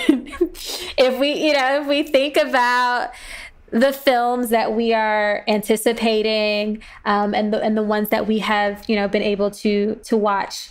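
Woman guest talking through her work in an interview, slightly high voice. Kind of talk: reflective interview talk.

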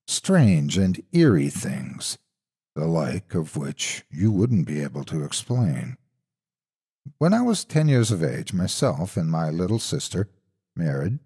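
A man narrating a scripted horror story in a husky voice, with suspenseful, expressive delivery. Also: lowish voice.

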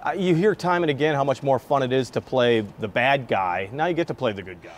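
A man's voice: mid-range voice, expressive, a little fast. A middle-aged man hosting and interviewing on local television, recorded on a lapel mic. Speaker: TV host. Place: large indoor hall decorated with Christmas trees.

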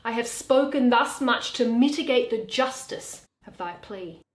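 A woman in her thirties playing an earnest young male lawyer, deliberate and persuasive, in a Shakespeare reading. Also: fairly high pitch, natural voice. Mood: pleading, grave. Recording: echoey bare room.

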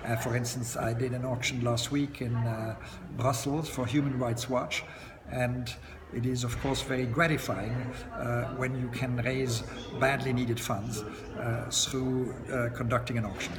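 A man in his sixties, an auctioneer, answering interview questions in a relaxed, chatty way with frequent "uh" pauses and light chuckles, filmed up close in an indoor lounge by large windows. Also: mid-range voice, noisy background. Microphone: camera close to his face. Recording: long echo, loud crowd chatter behind.

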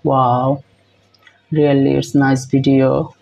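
A young man hosting a reaction video in halting, repetitive English with many fillers, filmed close on a phone in a small room. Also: mid-range voice, completely flat delivery, vocal fry, muffled recording. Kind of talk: casual reaction commentary.

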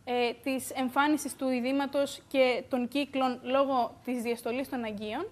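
A young woman, a beauty expert, explaining calmly in a TV studio on a lapel mic, with the odd "uh" filler. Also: high-pitched. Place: bright TV studio.

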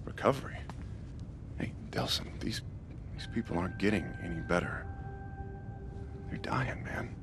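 Earnest, lowish-voiced worried man. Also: a little slow, long pauses, background noise. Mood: uneasy, earnest. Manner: hesitant, earnest, casual. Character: worried, exasperated older brother, a young lawman. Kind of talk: cinematic video game acting.

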